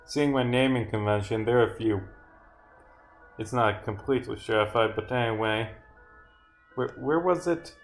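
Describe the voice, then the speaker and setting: A man's voice: medium pitch, moderate pace, long pauses, soft background music. A man commentating on his own livestream as a game developer, rambling with muttered asides, self-corrections and casual swearing. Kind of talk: livestream commentary, reading game dialogue aloud.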